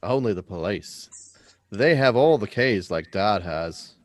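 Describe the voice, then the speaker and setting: A man plays a schoolboy in a radio drama. His mid-pitched voice moves in lively swings and sounds dry and close. He is earnest, curious and courteous, with a persistent questioning streak. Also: takes it slowly, no room sound. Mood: explaining. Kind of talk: live script reading of a radio drama.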